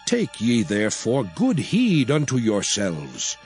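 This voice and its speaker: Slow, solemn commanding biblical prophet. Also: male voice, medium pitch, huge pitch swings, darkish tone, full character voice. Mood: grave, commanding. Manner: solemn, oratorical, measured, emphatic. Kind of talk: dramatized scripture reading. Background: music.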